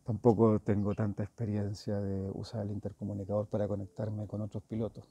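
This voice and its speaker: Gravelly, mellow man reviewing gear. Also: on the low side, completely flat delivery, a little fast, dry recording. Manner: informal, thinking aloud, checking with "¿ya?". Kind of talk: casual hands-on product review.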